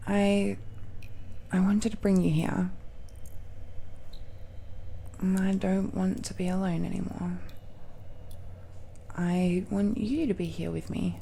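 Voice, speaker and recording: A woman voicing a tender, nervous girlfriend of dragon blood in an intimate romantic audio roleplay, speaking haltingly and softly, often dropping into whispers. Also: medium pitch, level tone, creaky, a little hiss or hum. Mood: nervous, earnest. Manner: slow, halting, hushed, often sighing or lapsing into whispers. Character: tender, nervous dragon-descended girlfriend confiding in her lover.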